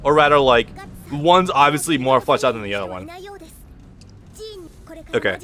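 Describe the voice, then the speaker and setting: Male let's play host, loud projecting voice, bright edge, rambling and frank with the swearing. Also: mid-range voice, animated, normal speed. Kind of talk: casual gameplay commentary. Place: at home at his computer, thin walls. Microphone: computer recording mic.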